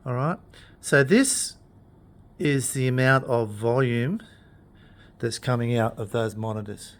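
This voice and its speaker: A man talking through equipment in an informal tutorial, explaining things in a plain-spoken, unhurried way. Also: mid-range voice. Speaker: instructor demonstrating PA equipment.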